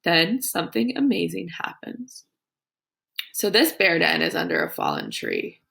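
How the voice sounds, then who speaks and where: A woman teacher with a creaky voice reading aloud to young children in an instructive way, with her own comments and questions. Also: fairly low pitch, huge pitch swings, a little slow, fairly bright tone.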